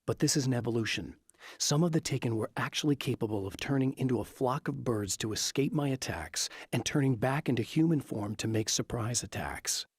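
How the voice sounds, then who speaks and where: A husky male voice, recorded close and dry, as a weary writer hero in a thriller game. He is grave, intense and matter-of-fact. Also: medium pitch, expressive, a little fast, dry recording. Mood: brooding. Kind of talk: video game acting, brooding monologue and terse dialogue.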